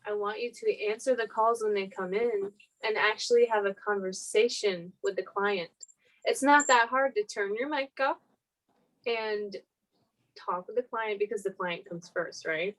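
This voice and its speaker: A woman acts out a stern manager in a comedy web series. She speaks in a mid-pitched voice, blunt and sure of herself, keeping colleagues in line. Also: a little slow, close to an everyday voice, rough recording. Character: stern, self-assured female manager scolding a colleague.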